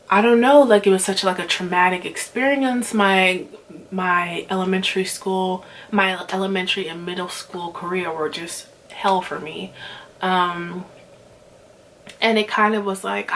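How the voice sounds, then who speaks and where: A woman's voice: medium pitch, fairly bright tone, rough recording. Twenty-something woman from nigeria rambling to camera, lots of um and like, faint hiss behind. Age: 20s. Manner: rambling, many fillers and restarts. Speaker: vlogger. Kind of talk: casual rant to camera.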